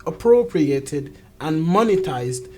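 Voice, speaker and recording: A woman's voice: low-pitched, expressive, takes it slowly. An outspoken woman talking frankly and emphatically in a casual, opinionated conversation. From Jamaica. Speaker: talk show host.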